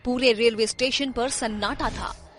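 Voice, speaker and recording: A woman's voice: on the high side, steady tone, a little slow. A woman narrating a scripted, dramatic horror story. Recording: music behind the voice. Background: background music.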